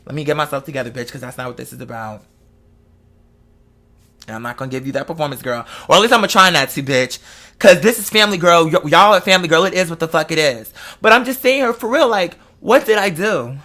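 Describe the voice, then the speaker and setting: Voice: medium pitch, expressive, fast talker, sharp tone. An online host in their twenties speaking candidly and emotionally to followers, with emphatic, slangy, profane phrasing and repetition for emphasis. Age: 20s. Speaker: online content creator and live show host.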